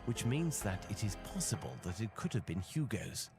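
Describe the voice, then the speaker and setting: Grave, low-voiced gentleman detective, music behind. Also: sing-song, gravelly. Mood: grave, pensive. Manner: measured, formal, deductive. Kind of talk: video game cutscene acting. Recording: loud music under the voice.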